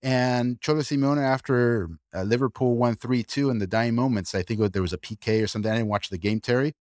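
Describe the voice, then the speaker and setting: Animated argumentative man, dry sound. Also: mid-range voice, dry recording. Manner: animated, argumentative, quick asides with restarts. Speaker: podcast co-host. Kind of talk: casual opinionated discussion.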